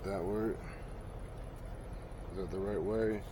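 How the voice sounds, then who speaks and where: Vehicle noise fills the cab around a man with a fairly low voice. He talks very slowly and casually, half to himself, as a trucker vlogging a hands-on job. His muttered phrasing is slangy and sweary. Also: fairly even intonation. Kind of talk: casual hands-on install vlog, talking to self.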